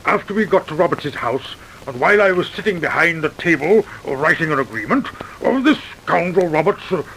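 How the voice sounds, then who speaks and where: An actor playing a pompous, indignant British gentleman major who blusters and stammers, in an old-time radio drama. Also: on the high side, big pitch swings, brisk. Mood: blustering, defensive. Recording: noisy vintage radio recording.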